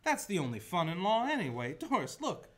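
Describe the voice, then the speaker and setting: A man's voice: high-pitched, huge pitch swings, fairly quick, a bit bright, heavily acted. A man playing an idealistic, eager lawyer in a radio play, earnest and emphatic. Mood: impassioned. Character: idealistic, eager male lawyer full of faith. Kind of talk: stage-like radio play acting.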